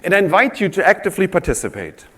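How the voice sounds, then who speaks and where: A man presents on a conference stage, talking straight to his audience. His voice is a bit higher than most men's and swings widely as he tells his stories. He draws the crowd in as he talks. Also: rapid-fire. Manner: animated, audience-engaging storyteller. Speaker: conference speaker. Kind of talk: keynote-style presentation.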